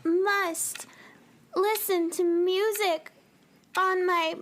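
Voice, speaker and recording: A woman's voice: very high voice, fairly even intonation, extremely slow, a bit bright, a little hiss or hum, exaggerated voice. An actress playing a perky young girl adventurer in a children's space radio drama, with a childlike way of talking. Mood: whiny. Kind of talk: children's audio drama acting.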